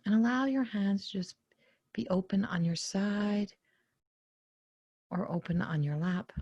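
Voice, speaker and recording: A woman leading a guided meditation in a soft, breathy, often near-whispered voice, gently and without hurry, pausing between short phrases. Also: fairly low pitch, dry recording, highs cut off. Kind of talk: guided meditation, soothing spoken instruction.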